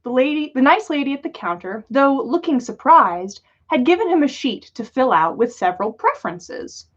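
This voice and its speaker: A woman reads a story aloud in a fairly high voice that moves up and down a great deal. She leans on dramatic pauses and stretched words, and the livestream audio is slightly dull and lo-fi. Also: sing-song, slightly rough. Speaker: podcast host reading listener-written fan fiction.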